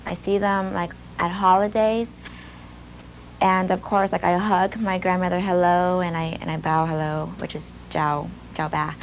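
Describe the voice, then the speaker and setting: A young woman recounting her own story in an older video clip, speaking softly and reflectively with some hesitation. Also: lowish voice, little pitch movement, a little slow, slight background noise, poor audio. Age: young adult. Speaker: former student telling her story in a video. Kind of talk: personal testimony. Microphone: archival video clip played during a webinar.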